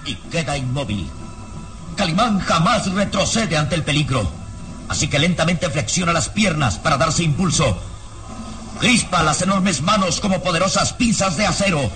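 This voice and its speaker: A man narrating a vintage radio drama from a script with dramatic, suspenseful and deliberate phrasing. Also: a little high, expressive, sharp tone, a little hiss or hum. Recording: radio serial with music behind.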